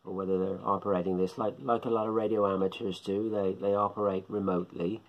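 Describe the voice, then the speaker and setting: A man's voice: deep voice, little pitch movement, fast, highs cut off. A middle-aged man, talking casually to camera in a vlog, rambling and musing aloud and repeating himself. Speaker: shortwave radio hobbyist vlogger. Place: small indoor living room. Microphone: phone or webcam at close range.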